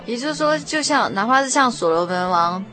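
Quick-talking female radio interviewer. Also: on the high side, lively intonation, sharp tone, faint music behind. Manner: attentive, frequent short affirming murmurs.

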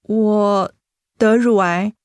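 An actress playing a gentle, guilt-ridden young woman in a Mandarin radio drama, apologetic and hesitant, with a Taiwanese accent. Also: medium pitch, steady tone, drawn-out words, clean tone, no room sound, studio quality. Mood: apologetic, pained.

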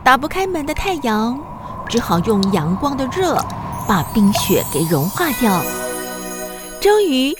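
A woman narrating a children's story in an expressive, scripted storyteller style. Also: high voice, measured pace, music in the background.

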